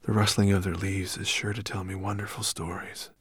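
A man voicing a gentle, weary male dragon in a storybook, murmuring in a sleepy, hesitant way. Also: mid-range voice, a little fast, no room sound, clean studio sound, voice pushed up, natural voice. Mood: drowsy.

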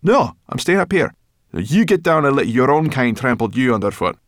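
A man's voice: on the low side, sing-song, a little fast, vocal fry, no room sound, studio quality, pitched above the performer's own voice. A man voicing a grumpy, feisty young female forest brownie in a storybook, snappish, indignant and blunt. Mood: stubborn. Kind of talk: storybook character voice.

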